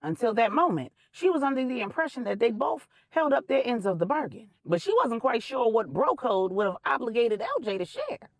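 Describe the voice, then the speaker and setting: Woman storyteller with a lively, dry-recorded voice. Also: a little high, brisk, hardly any pauses, dry recording. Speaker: storyteller, narrator. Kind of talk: scripted audio story narration.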